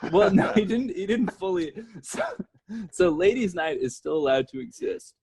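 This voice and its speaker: A husky-voiced man hosting a casual comedy podcast, explaining things emphatically with asides and swearing. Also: high-pitched, animated, normal speed, darkish tone. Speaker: podcast host.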